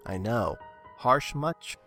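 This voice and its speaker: A man's voice: mid-range voice, lively intonation, takes it slowly, bright, forceful tone. A man reading a scripted review as a video reviewer. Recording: music under the voice. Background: background music.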